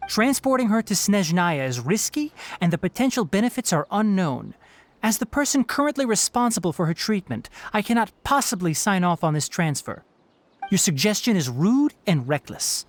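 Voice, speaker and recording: An actor playing a young, earnest and principled scholar in a video game, speaking in a measured, formal, guarded way. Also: male voice, high voice, very animated. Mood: firm, disapproving.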